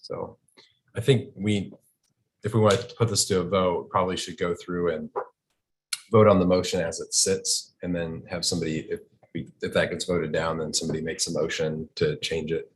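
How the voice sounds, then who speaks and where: A man's voice: deep voice, little pitch movement, normal speed, a little hiss or hum, poor audio. A man talking as a board member in a meeting, deliberating in a tentative, conversational way. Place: indoor board meeting room.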